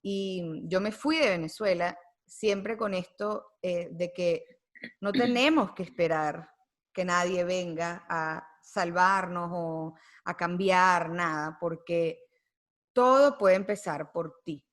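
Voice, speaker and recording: A woman's voice: fairly low pitch, takes it slowly, fairly bright tone. A woman guest on a podcast, speaking thoughtfully with many fillers and tag questions. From United States. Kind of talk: reflective conversational interview.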